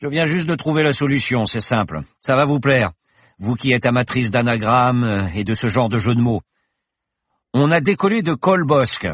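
A man's voice: medium pitch, moderate pace, dry recording, studio quality, pitched above the performer's own voice, natural voice. A man voicing a boastful, eccentric older male professor who flies a plane, talking in a jovial, showy way. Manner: jovial, showy, lofty speech.

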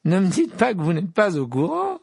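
A man's voice: fairly high pitch, animated, moderate pace, vocal fry, no room sound, studio quality, pitched above the performer's own voice. A man voicing a spoiled, sneering teenage wizard boy who mocks and gloats. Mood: gloating. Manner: mocking, gloating, laughing.

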